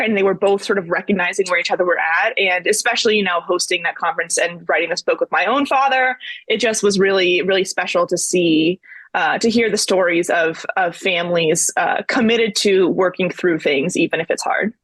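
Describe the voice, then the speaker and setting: Woman, 20s, interview guest: earnest and reflective, lowish voice, dry close sound. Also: fairly quick, fairly bright tone, no echo. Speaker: guest, book co-editor. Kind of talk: conversational interview.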